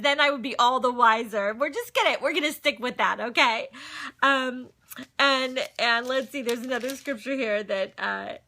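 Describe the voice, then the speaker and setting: A middle-aged woman with a high, very bright, forceful voice. She chats casually like a livestream host, telling personal stories and talking faith. Her manner is folksy and direct to her viewers. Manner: chatty, folksy, breaks off to greet and answer viewers. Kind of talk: casual chat, personal storytelling and faith talk. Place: at home. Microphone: phone.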